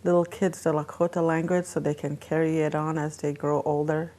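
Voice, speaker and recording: An older woman answering interview questions slowly and plainly. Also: deep voice, flat delivery, mellow, a little hiss or hum.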